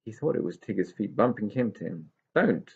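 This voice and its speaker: A man with a gravelly, husky voice reading a children's story expressively as a storyteller. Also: fairly low pitch, fast, a little mellow, highs cut off.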